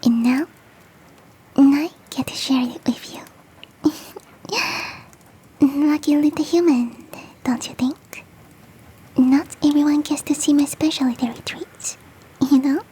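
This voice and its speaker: A woman voicing a big, fluffy, motherly and flirty yeti in a soft, teasing, whispered ASMR roleplay. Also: high voice. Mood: wistful, warm. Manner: tender, teasing, coaxing.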